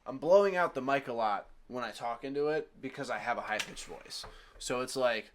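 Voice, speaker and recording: A man's voice: mid-range voice, animated, fairly quick, a little hiss or hum. A young man co-hosting a casual podcast, talking in a loose, slangy, profane way into a desk microphone at home. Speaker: podcast co-host. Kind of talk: casual chat. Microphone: desk USB microphone.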